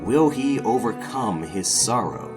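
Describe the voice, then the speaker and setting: A sinister, melodramatic horror host, acted by a man, introduces the tale over prominent music. He speaks low and swings his pitch widely for showmanship. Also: expressive. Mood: ominous showmanship. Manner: slow, theatrical, melodramatic. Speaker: podcast host and narrator. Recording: music loud under the voice.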